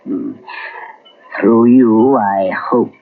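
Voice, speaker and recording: An actor playing the calm, solemn ghost of a man who died a year ago, speaking in a slow, measured way, in 1940s radio drama style. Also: on the low side. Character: ghostly dead man walking between worlds, calm, solemn. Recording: tinny old radio transcription with hiss.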